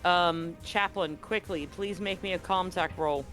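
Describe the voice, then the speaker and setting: Guy game mastering a sci-fi tabletop game, voice on the high side, explains rules, bit of music behind. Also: a little slow. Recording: faint music under the voice. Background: soft background music.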